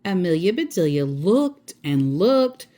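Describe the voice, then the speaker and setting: A woman's voice: fairly low pitch, very animated, a little slow, warm tone. A woman storyteller reading a children's picture book aloud.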